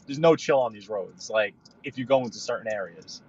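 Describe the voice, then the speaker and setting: A man's voice: on the low side, brisk, darkish tone, slightly rough, highs cut off. A man chatting on a live podcast from his car, telling stories in a rambling way with many restarts. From New York. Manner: rambling, storytelling, frequent restarts. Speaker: livestream guest. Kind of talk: casual live podcast chat while driving.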